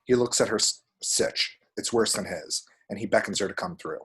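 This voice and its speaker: A man's voice: lowish voice, moderate pace, fairly bright tone, slightly rough. A man reading screenplay action descriptions aloud as dramatic, vivid narration at a table read.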